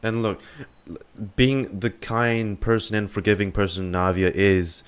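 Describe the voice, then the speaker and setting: A man giving casual, halting, sweary reaction commentary on a game, musing aloud with music behind. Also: fairly low pitch, fairly even intonation, smooth. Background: soft game music.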